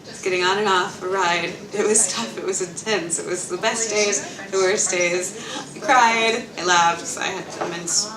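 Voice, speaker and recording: A woman's voice: mid-range voice, steady tone, moderate pace. Enthusiastic woman recorded from afar. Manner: emotional, enthusiastic. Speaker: bootcamp graduate. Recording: echoey room, distant mic, crowd noise. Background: crowd chatter.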